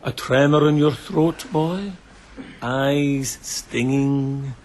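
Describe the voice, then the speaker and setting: An actor playing a sadistic bandit chieftain in a radio drama, theatrical and mocking, relishing every word with menace. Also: male voice, mid-range voice, steady tone, extremely slow, a little mellow, slight background noise. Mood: quiet menace. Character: gloating, sadistic bandit chieftain taunting bound captives.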